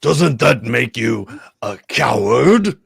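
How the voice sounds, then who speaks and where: A man's voice: mid-range voice, sing-song, slow, creaky, a bit bright, breathy edge, no echo, exaggerated voice. A man voicing a greedy, scheming alien crime-lord king in an imperious, mocking way at a comedic table read. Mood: suspicious, indignant. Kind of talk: comedic live table read of a fan script.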